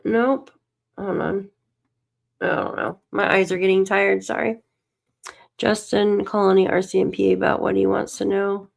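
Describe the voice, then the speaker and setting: A woman's voice: mid-range voice, little pitch movement, measured pace, gravelly. A tired woman hosting a casual livestream, reading documents aloud and muttering to herself as she searches, coughing and losing her voice. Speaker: livestream host.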